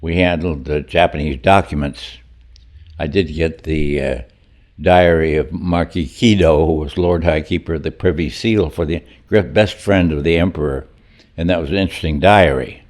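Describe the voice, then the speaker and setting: A man's voice: a bass voice, fairly even intonation, moderate pace, darkish tone. An older man, a chorus member, telling stories in a chatty, wry way during a documentary interview.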